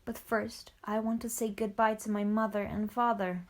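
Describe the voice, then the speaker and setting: A woman's voice: mid-range voice, level tone, normal speed, close to an everyday voice. A woman voicing an earnest young boy raised by wolves in a storybook reading. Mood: sad.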